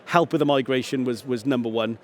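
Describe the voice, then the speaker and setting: A man giving a casual, chatty presentation, brisk and self-deprecating. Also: medium pitch, darkish tone. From Wales. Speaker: telecare programme manager.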